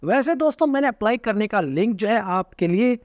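A man in his thirties with a very high, bright-toned speaking voice talks straight to camera, animated and gesturing as he explains. The sound is close and dry, picked up on a lapel mic in a small room. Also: brisk, no echo. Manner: animated, gesturing constantly, addresses viewers as friends. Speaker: personal finance YouTuber. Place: small indoor room with a floor lamp.

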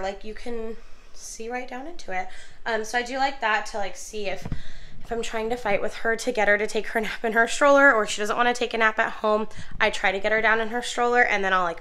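A woman's voice: a little high, a bit bright, a little hiss or hum. A young woman getting over a cold and losing her voice, chatting through a casual stroller review in her nursery at home, full of ums and likes. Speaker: mom vlogger reviewing a stroller. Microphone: handheld phone camera, then a camera set on the floor.

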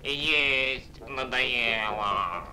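Sluggish sulky toad son. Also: male voice, medium pitch, extremely slow. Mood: bored, sulky. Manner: sluggish, bored drawl. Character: lazy, sulky young male toad son. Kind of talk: animated fairy-tale character acting. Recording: old cartoon soundtrack with music.

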